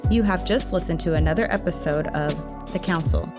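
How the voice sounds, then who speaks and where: A woman's voice: low voice, normal speed. Woman podcast host with music underneath. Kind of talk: conversational interviewing.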